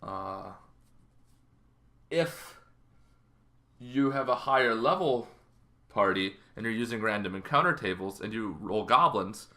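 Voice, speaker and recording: Male host, 30s, bright forceful tone, informal conversational explainer delivery. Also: lowish voice, lively intonation, unhurried, long pauses. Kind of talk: casual explainer on tabletop game monsters. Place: bedroom, indoors.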